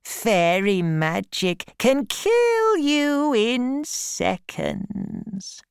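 A woman voicing a sly old female fairy in a storybook, with a croaky, drowsy, drawn-out delivery. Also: a little high, sing-song, no room sound, clean studio sound, voice pushed up, heavily acted. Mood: wary, threatening. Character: sleepy, sly old female fairy creature, bitter drinker.

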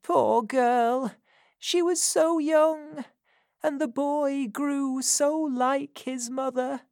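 A woman's voice: high voice, fairly even intonation, extremely slow, a little mellow, dry recording, studio quality, voice pushed up, exaggerated voice. A woman voicing a dying old woman in a workhouse, weak and confiding.

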